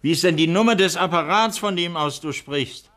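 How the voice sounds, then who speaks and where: A man's voice: high voice, moderate pace, fairly bright tone. An actor playing a courteous, concerned doctor and father in a 1960s German radio crime drama. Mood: urgent concern.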